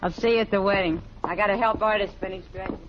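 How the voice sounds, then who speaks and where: A woman's voice: medium pitch, steady tone, fast, close to an everyday voice. An actress playing a knowing, teasing frontier woman in an old radio Western, wry and familiar in manner. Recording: dull vintage radio recording with music.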